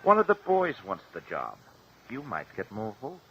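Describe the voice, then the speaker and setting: An actor playing a coolly bullying American gangster boss in a mid-century radio drama, menacing and slangy. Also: male voice, mid-range voice, wildly swooping pitch, normal speed, fairly bright tone. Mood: threatening. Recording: muffled old radio recording.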